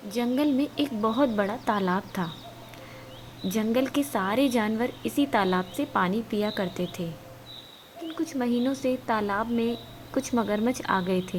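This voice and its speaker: A woman's voice: a little high, darkish tone, slight background noise. A woman narrating a scripted children's story as a storyteller.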